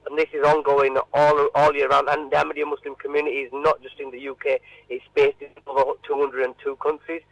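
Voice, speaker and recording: A man answering informally in a radio interview, halting and often repeating his words. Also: on the high side, flat delivery, creaky, poor audio.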